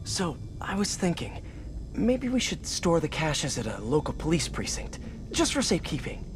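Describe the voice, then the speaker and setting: An actor playing a youthful, kind-hearted superhero in a video game, with an American accent and a casual, wry, friendly way of talking. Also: male voice, a little high, wildly swooping pitch, moderate pace. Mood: friendly, helpful. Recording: clear background noise.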